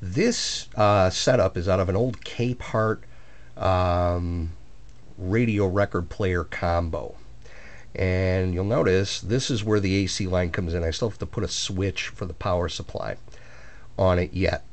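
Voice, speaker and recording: A man with a fairly low voice talks through a hobby project in a casual, plainspoken way. He explains things step by step, like someone showing off home-built work. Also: a little slow. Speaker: hobbyist restorer of antique radios. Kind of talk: casual demonstration narration.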